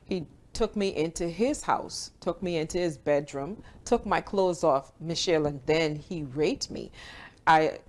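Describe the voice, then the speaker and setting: A woman's voice: low-pitched, unhurried, darkish tone. A woman from the Bahamas, telling a personal story as an interview guest.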